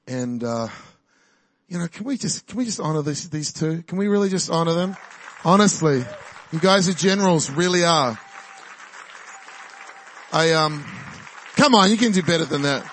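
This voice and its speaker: A man's voice: fairly high pitch, expressive, normal speed, pauses often, a bit bright, a little hiss or hum. A man in his forties with a New Zealand accent, a guest preacher giving a chatty, exuberant sermon and personal testimony in a church, often laughing and rallying the crowd.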